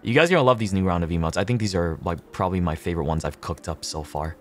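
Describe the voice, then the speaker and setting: A man's voice: deep voice, rapid-fire, soft background music. A young man chatting casually on a gameplay livestream, slangy, sweary and laid-back. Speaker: streamer.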